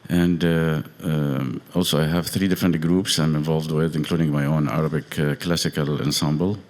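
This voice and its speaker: A man with a very low, deep voice, born in Lebanon, gives a few informal words of thanks to a congregation. He speaks haltingly, stopping often on fillers and restarting his sentences. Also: steady tone, a little mellow. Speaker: guest violinist. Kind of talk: short informal thank-you remarks. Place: congregation meeting hall.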